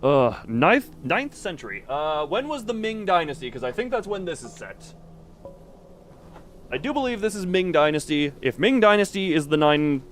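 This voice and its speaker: A man's voice: on the high side, a bit bright, a little hiss or hum. A man giving casual gameplay commentary to a live chat, rambling and thinking aloud as he plays. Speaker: video game streamer.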